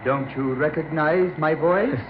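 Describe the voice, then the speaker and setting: Man playing a slow, taunting vengeful ghost. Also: fairly high pitch, natural voice. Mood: mocking menace. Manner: slow, deliberate, mocking. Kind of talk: old-time radio horror acting. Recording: muffled, noisy old radio recording.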